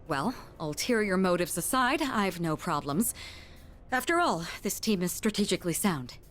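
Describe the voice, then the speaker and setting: Low-voiced, poised female strategist. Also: very animated, normal speed, a bit bright. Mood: cool, assured. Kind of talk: anime video game voice acting.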